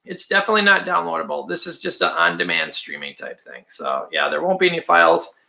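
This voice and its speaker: A man with a raspy voice, hosting a casual livestream, chatty and rambling with frequent ums and throat clearing. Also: fairly high pitch, huge pitch swings, fast, pushed and bright. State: raspy from allergies or stress. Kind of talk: casual livestream chat reading viewer comments.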